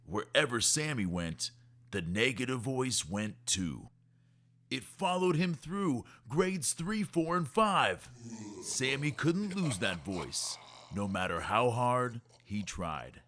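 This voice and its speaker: A man reading a rhyming children's story aloud in an expressive, measured storytelling way. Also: medium pitch. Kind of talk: rhyming scripted narration for kids.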